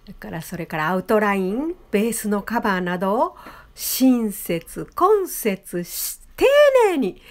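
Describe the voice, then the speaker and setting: A woman, presenting a vlog politely and in an animated, sing-song way. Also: mid-range voice, measured pace. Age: 70s. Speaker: host, flower designer. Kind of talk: vlog narration to viewers.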